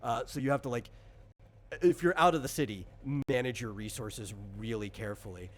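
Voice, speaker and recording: A man's voice: mid-range voice, fairly quick. A man explaining things in casual chat, in a rambling, hesitant way, as a game designer running character creation.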